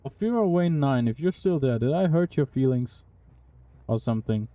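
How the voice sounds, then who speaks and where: A man's voice: mid-range voice, lively intonation, moderate pace, mellow. A young man streaming a game, casually commenting and chatting with his viewers in a puzzled, talkative way. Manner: puzzled, chatty, talks to chat. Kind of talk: casual live-stream commentary while playing.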